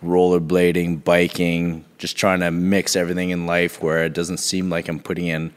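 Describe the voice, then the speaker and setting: Raspy guy with a really deep voice chatting on a podcast, sore throat, lots of room echo. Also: monotone, moderate pace. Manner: laid-back, rambling, says "man" a lot. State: sore throat from being choked. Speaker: podcast co-host. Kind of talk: casual chat.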